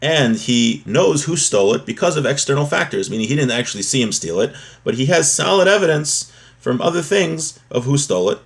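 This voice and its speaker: A man's voice: mid-range voice, big pitch swings, fairly quick, gravelly. A man giving a religious lesson, reading from a text and explaining it, repeating and rephrasing his points as he goes. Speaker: religious teacher giving a daily class.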